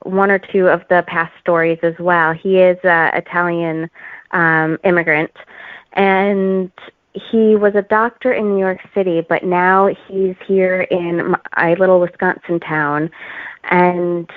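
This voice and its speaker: A woman talking as an author guest in a casual interview, with an informal, halting, giggly manner. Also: lowish voice, fairly even intonation, no room sound, narrow, tinny audio.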